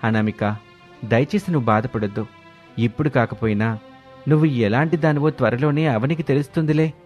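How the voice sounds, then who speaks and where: An earnest young husband played by a male narrator speaks gently in a family storybook tale. His voice is of middling pitch, set over music. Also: brisk, lo-fi sound, natural voice. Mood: consoling. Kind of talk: storybook dialogue. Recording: music behind the voice.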